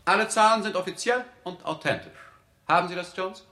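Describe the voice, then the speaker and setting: Pompous, sharp-voiced publisher. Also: male voice, high-pitched, a little fast. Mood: dry, calculating. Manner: pompous, clipped dictating, ironic. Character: pompous, vain newspaper publisher dictating to his clerk. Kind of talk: 1960s German radio play acting.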